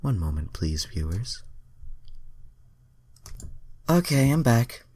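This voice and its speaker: A man's voice: medium pitch, very animated, takes it slowly, a little mellow, husky, no echo. A man narrating fan fiction as a dramatic reading, breaking off into casual asides to his viewers.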